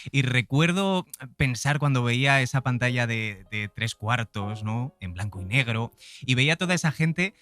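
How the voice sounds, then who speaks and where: A man's voice: medium pitch, lively intonation, moderate pace, a bit bright. A man from presenting and interviewing conversationally as a podcast host.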